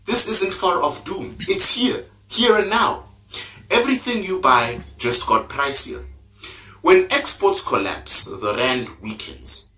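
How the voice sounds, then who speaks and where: Emphatic man with a bright, roomy voice. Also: sits high, expressive, takes it slowly. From South Africa. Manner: emphatic, rising, declamatory. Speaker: political commentator in a clip. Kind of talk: impassioned scripted commentary.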